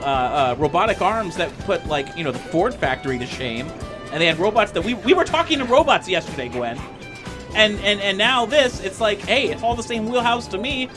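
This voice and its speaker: A man who talks in a rambling, excitable, profane way as a player in a casual tabletop role-playing game. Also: sits high, fairly quick. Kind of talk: casual improvised tabletop role-play chat. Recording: music behind the voice. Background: music.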